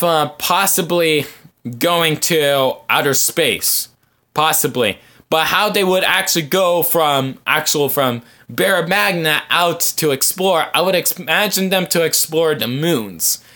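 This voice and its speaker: Guy doing a casual fan podcast, slow talker, really bright forward voice, halting and rambly. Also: a little high. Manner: halting, rambling, thinking aloud. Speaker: podcast host. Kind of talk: casual fan podcast talk answering viewer comments.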